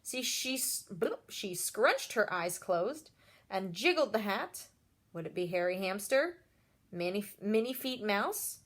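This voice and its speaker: A woman reading a children's story aloud in an expressive way, pausing to address young listeners directly. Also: medium pitch. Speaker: storybook reader.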